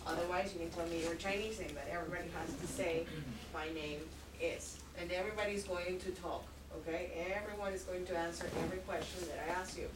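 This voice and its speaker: A woman teaching an English conversation class, talking slowly and clearly. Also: lowish voice, steady tone, heavy background noise. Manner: slow, clear teacher talk. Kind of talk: classroom instruction. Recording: echoey classroom from far off.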